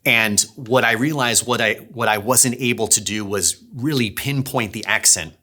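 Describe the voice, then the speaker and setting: A man's voice: medium pitch, moderate pace, bright, forceful tone. A man hosting a behind-the-scenes series, explaining his production work in a casual, conversational way. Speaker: audio drama director and series host.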